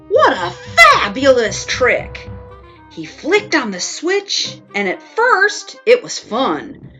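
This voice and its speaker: An older woman hosting a children's story time, reading aloud in an expressive, playful, folksy way with drawn-out words. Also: high-pitched. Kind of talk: animated children's storybook narration.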